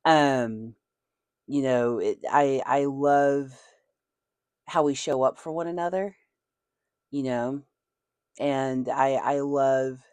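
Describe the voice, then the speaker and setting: A chatty speaker from Nashville, Tennessee, whose Southern accent is softened but still comes through. The delivery is animated, with a voice that rises and falls freely and frequent laughter. The sound is dry, with no room echo. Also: mid-range voice. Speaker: video creator. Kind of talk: casual chat.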